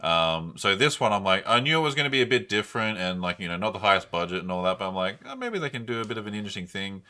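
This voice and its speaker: A man with a deep voice that still cuts through with a bright, forceful edge. He chats casually as a podcast co-host, swearing freely and leaning on slang. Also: lively intonation, rapid-fire.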